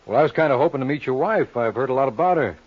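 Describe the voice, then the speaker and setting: Muffled vintage radio, male actor as wisecracking detective, mid-pitched, dry, cool, sardonic. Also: animated, rapid-fire, lightly acted. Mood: wry, probing. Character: wisecracking, cool private lawyer-sleuth, adult man. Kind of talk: 1950s radio crime drama acting and narration. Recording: muffled, noisy old-time radio recording.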